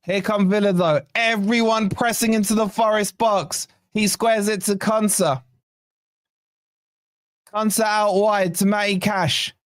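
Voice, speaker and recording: Hoarse male fan host, high-pitched, excitable partisan live commentary, dry close mic. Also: measured pace, no echo. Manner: excitable, swearing, partisan play-by-play. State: hoarse, losing his voice. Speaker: fan channel watch-along host.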